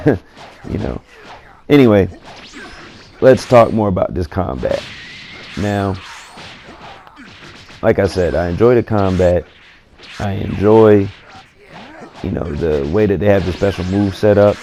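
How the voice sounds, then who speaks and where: A man getting over a cold, his voice scratchy and gravelly, giving laid-back, opinionated commentary on a video game. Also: sits low, slow, pauses often, dark tone, faint music behind. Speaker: gaming commentator. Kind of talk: casual gaming commentary.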